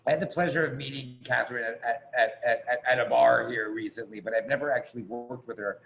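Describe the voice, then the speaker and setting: A man hosting a live online event, introducing performers in a halting, effusive and casual way over a video call. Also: mid-range voice, fairly even intonation, creaky. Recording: dull noisy video call audio.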